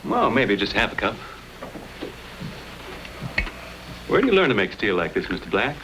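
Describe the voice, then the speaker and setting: Bold frontier man, courteous, strong-willed, old radio western, lively pitch, slightly noisy recording. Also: quick pace. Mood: polite, eager.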